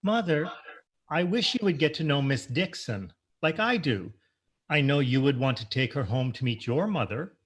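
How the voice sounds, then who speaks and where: A man's voice: medium pitch, animated, moderate pace, breathy edge. A man playing a timid, polite grown mama's boy in a comic radio play, speaking formally and deferentially. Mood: earnest, deferential. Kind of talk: comic old-time radio play acting.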